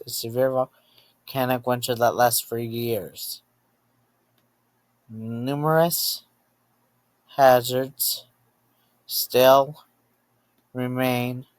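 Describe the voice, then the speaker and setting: A man narrating a scripted emergency alert as an announcer, halting between short phrases and often repeating himself to rephrase. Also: medium pitch, no echo.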